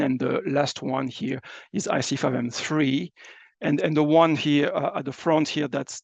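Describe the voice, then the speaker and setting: A man giving a scientific presentation as a researcher, explaining slides in a halting, hesitant way with frequent fillers. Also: on the high side, creaky, no echo, dull audio.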